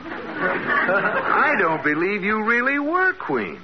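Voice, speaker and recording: An actor playing a firm, skeptical, exasperated husband in a 1940s-style radio sitcom, reproving and deliberate. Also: male voice, sits high, wildly swooping pitch, full character voice. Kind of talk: 1940s radio situation comedy acting. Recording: noisy muffled old radio recording.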